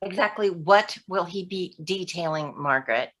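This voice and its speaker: A woman acting in a staged play reading as a confrontational, sarcastic woman who defends her friend with pointed, deliberate words. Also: lowish voice, a bit bright, lightly acted. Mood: cool, probing.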